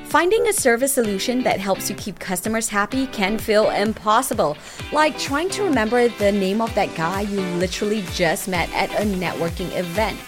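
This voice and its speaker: A woman's voice: medium pitch, expressive, moderate pace, over music. A woman hosting a podcast, introducing and interviewing in a presenter's style. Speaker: podcast host.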